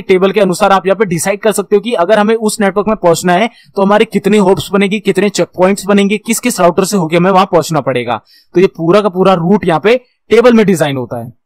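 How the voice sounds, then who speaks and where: A man with an Indian accent giving an explanatory tutorial as an instructor, asking questions and answering them himself in a teacherly way. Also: sits high. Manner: switches between Hindi and English terms. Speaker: instructor in computer networking.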